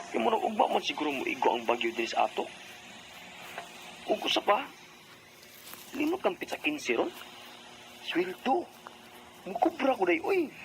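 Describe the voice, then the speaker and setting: A man's voice: on the high side, a little hiss or hum, low-quality recording. A man with a Cebuano Filipino accent acting a carefree working husband in a radio drama.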